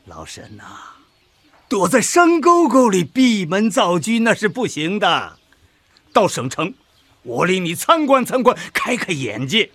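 An older agricultural professor for radio drama, pompous and didactic. His husky voice sits fairly high and swings dramatically up and down as he lectures. The sound is dry and close. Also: very animated, unhurried, fairly bright tone, dry recording, full character voice. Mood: persuasive, grand. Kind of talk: Chinese radio drama acting.

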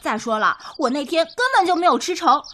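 A woman's voice: high voice, expressive, fairly quick, background noise. A woman acting a scatterbrained, outspoken young food lover in a radio drama, chatty and impulsive. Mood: grumbling. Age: young adult.

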